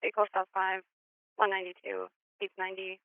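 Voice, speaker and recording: A woman's voice: medium pitch, little pitch movement, fairly quick, no echo, narrow, tinny audio. A woman working as a police dispatcher, giving terse readbacks over a radio heard on a scanner.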